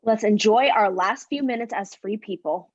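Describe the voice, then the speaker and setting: A woman's voice: mid-range voice, moderate pace, darkish tone, close to an everyday voice. A woman playing a terse, agreeable, resigned schoolgirl in a staged play reading. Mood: resigned, cautious. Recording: dull, muffled stage recording.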